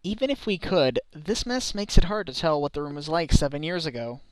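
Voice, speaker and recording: A man playing an earnest, excitable defense lawyer in a fan dub of a courtroom mystery game, emphatic and pleading. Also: a little high, fairly quick, dry recording. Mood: conversational.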